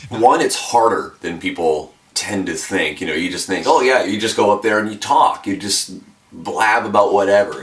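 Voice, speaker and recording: A man in his twenties with a notably deep, low voice talks through his radio career in an easy, reflective way, with the odd "um." The sound is roomy and echoey, as if the mic sits a few feet away. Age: 20s. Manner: relaxed, reflective, with fillers. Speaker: radio announcer. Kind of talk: documentary interview. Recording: echoey room, mic at a distance.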